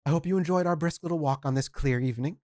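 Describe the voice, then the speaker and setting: A storyteller voicing a condescending, genteel male vampire elder who speaks softly and patronizingly. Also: mid-range voice, fast talker, no echo, studio quality, voice pushed up, natural voice. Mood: courteous. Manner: patronizing, soft-spoken.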